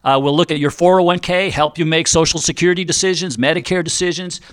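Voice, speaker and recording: A man's voice: on the high side, fairly even intonation, fast talker, smooth, dry recording. A middle-aged man hosting a financial advice radio show, talking in an upbeat, chatty salesman style and joking along the way. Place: radio studio. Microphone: studio microphone.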